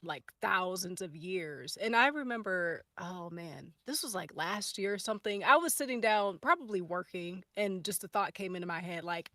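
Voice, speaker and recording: A woman's voice: lowish voice, expressive, moderate pace, few pauses, fairly bright tone, dry recording, clean studio sound. A woman chatting casually and off the cuff, thinking aloud with emphatic repeats. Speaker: podcast cast or crew member. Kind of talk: casual, off-the-cuff group chat.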